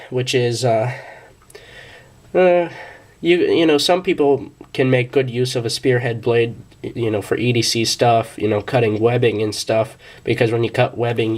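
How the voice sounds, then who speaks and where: A young man, a bit sick, casually reviewing a pocket knife, with many fillers and restarts. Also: mid-range voice.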